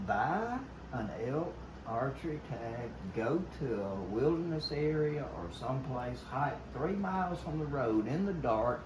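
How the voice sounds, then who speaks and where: A man's voice: mid-range voice, lively intonation, unhurried. An older man with a Southern US accent telling stories casually in a folksy, emphatic way, quoting old conversations as he goes. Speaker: guest telling hunting stories. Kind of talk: casual storytelling. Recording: noisy, roomy, somewhat distant pickup.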